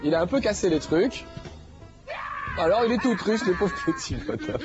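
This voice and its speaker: A man's voice: high-pitched, expressive, moderate pace, shouting, lo-fi sound, over music. A man hosting a game show, talking casually over gameplay with a slight nasal head cold and lots of fillers. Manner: chatty, jokey, many fillers.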